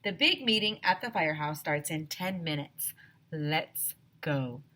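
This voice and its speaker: A woman voicing an excitable, suspicious third-grade boy from a storybook, insistent and conspiratorial. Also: deep voice, measured pace, exaggerated voice.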